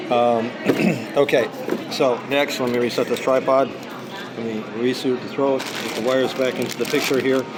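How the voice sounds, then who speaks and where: A hoarse man vlogging in a casual, rambling way with many ums and uhs, recording on a small tripod camera inside a busy coffee shop. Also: medium pitch, level tone, unhurried, crowd noise. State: hoarse from singing practice. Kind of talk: casual unboxing vlog. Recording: noisy coffee shop with music playing. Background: background music and cafe noise.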